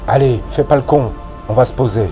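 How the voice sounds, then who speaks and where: Calm soldier, steady, compassionate tone; a male narrator voices him. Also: mid-range voice, moderate pace, music in the background, close to an everyday voice.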